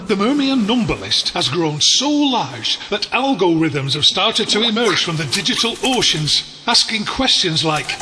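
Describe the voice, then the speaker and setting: A man playing a wry, erudite alien storyteller, narrating a scripted audio drama in a measured, deadpan way. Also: high-pitched, noisy background.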